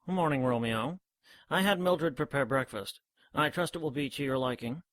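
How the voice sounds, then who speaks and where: A mid-pitched male voice performed by a man for a bookish gentleman scientist in an audio drama. He is composed and sure of himself, explaining things with formal, pedantic precision. The sound is dry and close. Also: moderate pace, no room sound, close to an everyday voice, low-quality recording. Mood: polite, composed. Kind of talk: scripted audio drama dialogue.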